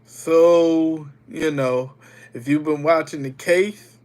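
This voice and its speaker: A man's voice: on the high side, steady tone, slow, a little mellow. A young man streaming live while getting over a cold, chatting loosely with viewers and greeting them by name. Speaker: livestreamer. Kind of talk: casual livestream chat with viewers.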